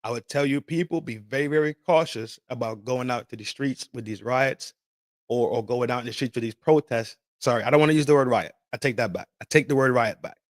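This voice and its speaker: A man's voice: medium pitch, a little fast, dry recording, studio quality. A man hosting a podcast, ranting casually about politics with heavy swearing, slang and repeated phrases. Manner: profane, emphatic, repetitive, street slang. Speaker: podcast host. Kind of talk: casual opinion rant.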